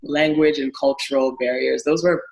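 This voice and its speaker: A young woman from Ghana, a guest business owner telling her story in a relaxed, friendly way, laughing easily. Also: very deep voice, moderate pace, gravelly, lo-fi sound. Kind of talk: casual interview conversation. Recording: echoey room sound.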